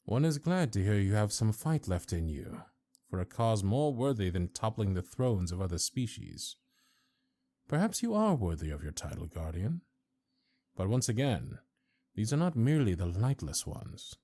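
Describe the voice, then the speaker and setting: Guy doing an imperious old councilor for fantasy audio roleplay, low-ish soft dark tone, lively pitch, super formal. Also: no echo. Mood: cool, stern. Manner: formal, archaic, haughty and deliberate.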